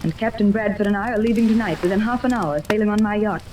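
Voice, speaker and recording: A woman's voice: mid-range voice, fast talker, close to an everyday voice. A woman playing a kindly, self-assured wealthy lady with a firm, reassuring manner in a 1930s radio serial. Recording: noisy 1930s radio recording, rain behind.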